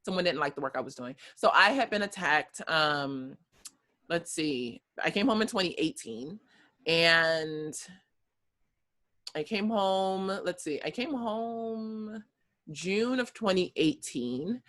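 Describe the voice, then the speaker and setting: A woman's voice: lowish voice, expressive, slow pace, fairly bright tone. An American woman in her thirties, a guest telling her story in casual conversation, with many fillers and easy laughs. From United States.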